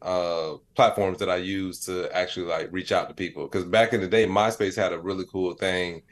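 A man with a low-pitched voice talks informally as a podcast guest. He thinks his points through aloud in a conversational, reflective way, leaning on filler phrases like "you know". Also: fairly even intonation, normal speed. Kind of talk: casual interview conversation.

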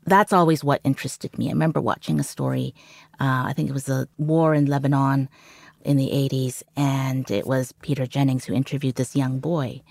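Middle-aged Canadian woman, Cantonese first language; low, dry and reflective. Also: fairly even intonation, normal speed, creaky, darkish tone, no room sound. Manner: reflective, frequent "you know". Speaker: journalist guest. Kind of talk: interview conversation.

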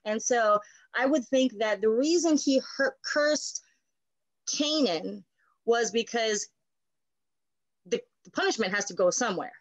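A woman's voice: on the high side, expressive, unhurried, pauses often, no room sound, low-quality recording. A woman pastor co-hosting a Bible study, explaining conversationally and often checking in with "right?".

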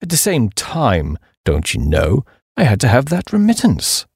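A man voicing a hapless, dim young upper-class English gentleman who blusters and rambles in slang. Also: mid-range voice, wildly swooping pitch, a little slow, vocal fry, slightly rough, no room sound, studio quality, heavily acted. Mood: gloomy, rambling.

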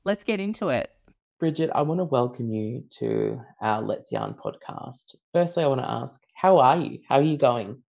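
A man's voice: mid-range voice, expressive, moderate pace, vocal fry, a little mellow, no echo, highs cut off. An Australian man hosting a podcast interview, enthusiastic and welcoming toward his guest. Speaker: podcast host.

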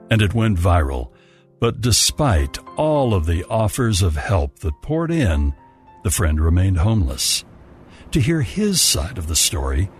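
A man narrating a scripted story as a storyteller. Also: lowish voice. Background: music.

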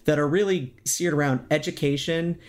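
Male interview guest, slightly high pitch, earnest explainer, conversational delivery. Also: fairly even intonation, normal speed. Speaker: podcast guest, synthetic voice company executive.

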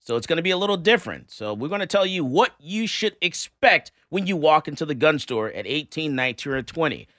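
A man hosting a talk radio show, asking questions and leading into breaks in an animated way. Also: fairly high pitch, brisk, hardly any pauses, no room sound, studio quality. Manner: animated, repeats himself when setting up questions.

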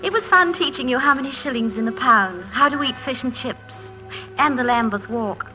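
An actress playing a poised, teasing, affectionate middle-aged wife and former stage star, in a playful, theatrical 1940s radio style. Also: female voice, high voice, moderate pace, over music, lightly acted. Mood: fond, nostalgic. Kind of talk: 1940s radio comedy-drama acting. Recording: noisy 1940s radio recording.

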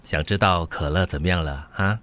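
An actor playing a friendly, caring middle-aged uncle in a radio drama, with a Singaporean accent. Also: male voice, low-pitched, moderate pace, fairly bright tone. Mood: warm, jovial. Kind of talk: Mandarin radio drama acting.

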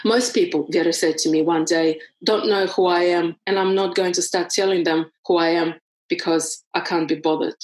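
A woman's voice: fairly low pitch, fairly even intonation, moderate pace, a little mellow, poor audio. A woman with a heavy foreign accent, reading from her own book and then talking it over thoughtfully, with restarts. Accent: foreign accent in English. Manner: thoughtful, searching for words, frequent restarts. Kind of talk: reading aloud, then conversational interview.

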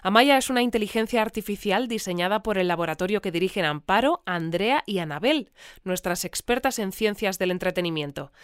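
An actress playing a composed, formal presenter of a news bulletin in an audio drama. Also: female voice, medium pitch, dry recording, studio quality, lightly acted. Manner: composed, formal, clearly scripted.

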